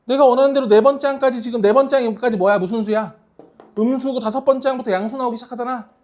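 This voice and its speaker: A math teacher with a mid-pitched voice leads a class through worked problems. The delivery is informal and conversational, full of short questions to students to check that they are keeping up. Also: quick pace, darkish tone. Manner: casual, informal, checks understanding often.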